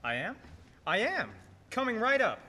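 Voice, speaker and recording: An actor playing a humble, hard-up taxi driver in a radio play, with a noisy room around him. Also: male voice, high-pitched, wildly swooping pitch, moderate pace. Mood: grateful.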